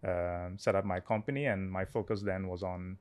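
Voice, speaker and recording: Low-voiced Ghanaian man recounting his story. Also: fairly even intonation, normal speed, a little mellow, clean tone. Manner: thoughtful, with fillers and restarts. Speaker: startup founder, guest. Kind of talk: casual interview chat.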